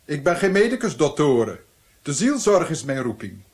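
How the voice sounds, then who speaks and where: An actor playing a stern, zealous Italian friar in a radio drama, speaking in a formal, accusing, haughty way. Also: male voice, on the high side, very animated, moderate pace. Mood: haughty, threatening.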